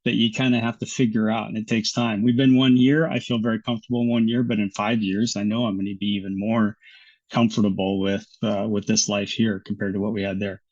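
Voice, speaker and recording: An American man talking as a guest in a casual interview, easygoing and quick to laugh, often restarting his sentences. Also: lowish voice, level tone, a little mellow, highs cut off. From United States.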